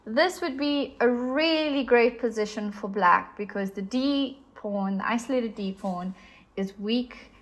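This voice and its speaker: A woman's voice: fairly high pitch, unhurried. A young woman with a South African accent, patiently explaining chess positions as an instructor. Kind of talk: explaining chess moves on a board.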